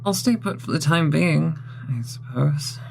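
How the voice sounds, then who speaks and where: A woman's voice: sits low, animated, measured pace, gravelly, slight background noise, exaggerated voice. A woman playing a weary, compliant young female vampire in an audio drama, hesitant and subdued.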